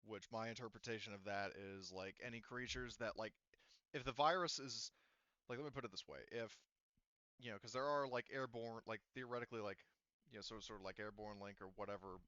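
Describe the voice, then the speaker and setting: A man's voice: fairly low pitch, fairly even intonation, brisk, pushed and bright, clean tone, no room sound, highs cut off. A man running a tabletop roleplaying game as game master, explaining casually in a hedging way and repeating himself.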